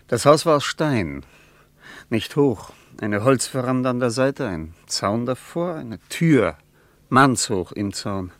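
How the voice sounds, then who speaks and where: An actor playing a wistful former soldier in a radio play, recalling a lost love in short, searching phrases. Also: male voice, medium pitch, no echo, close to an everyday voice. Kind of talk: radio play acting, halting reminiscence.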